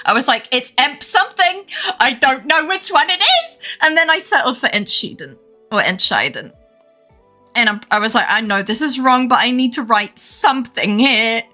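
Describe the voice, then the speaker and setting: Animated, swearing young woman streamer. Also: sits high, moderate pace, faint music behind. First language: English. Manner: animated, swearing, frequent laughter. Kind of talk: casual chat while gaming.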